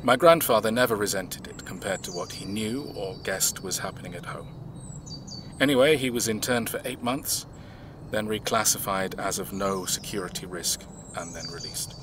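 A man acting in an audio drama, playing a reserved, measured man who recounts his family's past in a reflective way. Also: lowish voice, noisy background, close to an everyday voice.

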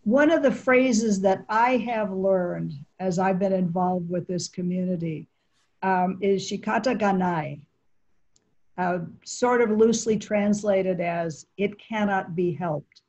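A woman moderating an online panel from her living room, asking measured questions and thanking each guest before moving on. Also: medium pitch, a little mellow. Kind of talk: interview questions. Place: home living room, indoors. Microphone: video call.

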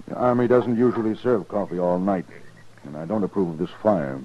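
Casting: stern, insistent cavalry officer, low husky voice, old radio western. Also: male voice, lively intonation, a little fast. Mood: gruff, disapproving. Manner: clipped, insistent, suspicious. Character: stern, stubborn male army major. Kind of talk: 1950s radio western acting. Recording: dull 1950s radio recording.

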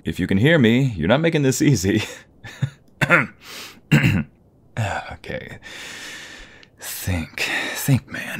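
A man playing a playful, doting young husband in an ASMR roleplay, with a breathy voice that often slips toward a whisper and a teasing, affectionate delivery. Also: lowish voice, exaggerated voice. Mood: playful, calling out. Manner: teasing, affectionate, drawn-out delivery with pet names.

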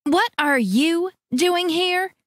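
This is a furious, jealous grown woman, voiced by a female storyteller. She speaks high and shrill, spitting out demands and refusals in a dry, close recording. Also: very animated, extremely slow, dry recording, exaggerated voice. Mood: outraged. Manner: sharp, demanding, raises her voice.

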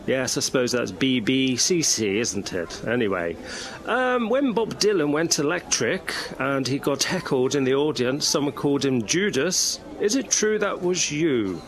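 Pompous newsman, a man acting, lively highish delivery, fussy and self-correcting, comedy radio. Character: fussy, pompous male reporter.

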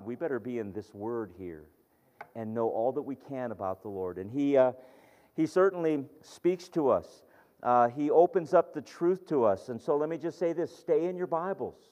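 A man's voice: mid-range voice, darkish tone, in a large hall. A man preaching a sermon in an earnest, conversational way, often restarting his sentences.

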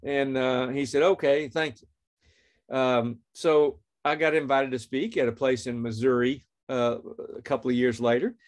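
An earnest middle-aged man hosts a faith podcast from a small indoor room, speaking straight to camera. His voice sits at an ordinary pitch, and he tells his story plainly, stopping now and then to read scripture. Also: fairly even intonation, moderate pace. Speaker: podcast host.

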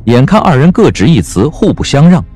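A man's voice: medium pitch, sing-song, brisk, a little mellow, faint music behind. A man narrating a period audio drama as a storyteller, reading scripted prose dramatically.

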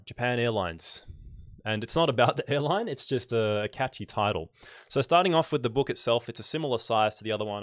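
A man with a fairly low voice, a little nasal and stuffed up from a cold. He chats in an unhurried, explanatory way as a livestream host. The sound is dry and close, from a microphone in a small home room. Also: no room sound. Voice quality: slightly nasal, congested. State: congested from a cold, scratchy throat. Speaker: photography channel host. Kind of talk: casual livestream book review. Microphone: large microphone close on a boom arm.

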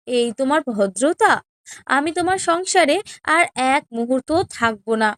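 A woman's voice: sits high, fairly bright tone, clean tone, no echo, clean studio sound. A woman playing a selfish, haughty younger wife with an indignant, scolding manner in a melodramatic cartoon story.

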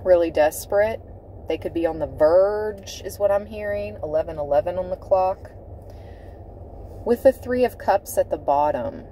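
A woman giving a tarot reading from inside a car, speaking in a slow, dramatic way and repeating key phrases for emphasis. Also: lowish voice, mellow, slight background noise. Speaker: tarot reader. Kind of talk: tarot card reading to camera.

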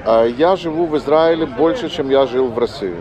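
A man in his fifties, from Russia, talking as a local tour guide in a thoughtful, casual way with frequent "uh" pauses. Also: medium pitch, music in the background. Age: 50s. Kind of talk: casual guiding talk on a walk. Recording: street noise behind.

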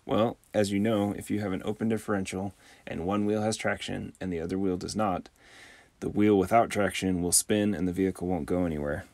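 A man, the host, giving a calm, methodical voice-over tutorial on a close mic. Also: deep voice, dry recording. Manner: calm, methodical, measured explaining. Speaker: channel host.

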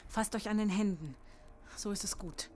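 A woman's voice: medium pitch, moderate pace, warm tone, a little hiss or hum, lightly acted. A woman playing an anxious, protective middle-aged mother in a horror audio drama, earnest and commanding. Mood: soothing, earnest.

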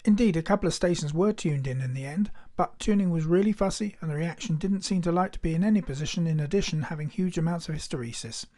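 A man giving a measured, instructional scripted technical narration as a presenter. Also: fairly high pitch, warm tone. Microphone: voice-over.

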